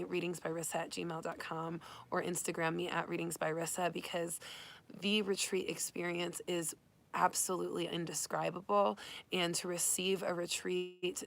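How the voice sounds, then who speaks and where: A woman who has nearly lost her voice, hoarse, hosting an upbeat, spiritual tarot reading for her channel. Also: on the low side, fairly bright tone. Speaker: tarot reader and channel host. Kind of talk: casual livestream reading. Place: Arizona.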